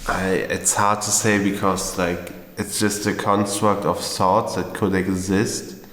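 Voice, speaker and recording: A man's voice: low-pitched, little pitch movement, takes it slowly, fairly bright tone. A young man in his twenties from Germany, speaking English as a guest and musing thoughtfully. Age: 20s. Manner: thoughtful, many "like" fillers. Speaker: podcast guest. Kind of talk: casual discussion. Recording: echoey hall.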